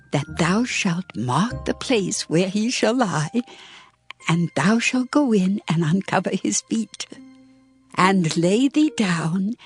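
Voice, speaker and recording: A woman plays an older mother-in-law of biblical times, caring and earnest in her counsel. She takes her archaic lines slowly and with measure, over faint music. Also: mid-range voice. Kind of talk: dramatized scripture reading, archaic English.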